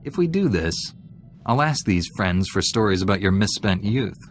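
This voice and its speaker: An actor playing a stern, dry-witted Jedi warrior hero in a video game, speaking curtly. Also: male voice, lowish voice, lively intonation, moderate pace, a little hiss or hum, natural voice. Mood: teasing. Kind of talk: video game cutscene acting.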